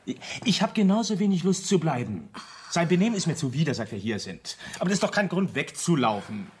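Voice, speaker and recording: An actor playing an upright, flustered young architect in a radio drama, hesitant and protesting, stammering slightly. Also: male voice, on the high side, very animated, brisk, a little hiss or hum. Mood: indignant. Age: young adult.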